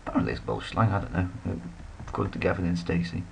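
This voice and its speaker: A man's voice: low voice, animated, racing, creaky, a little hiss or hum. A man giving rambling, casual commentary while playing a strategy game, full of self-deprecating asides. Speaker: let's play commentator.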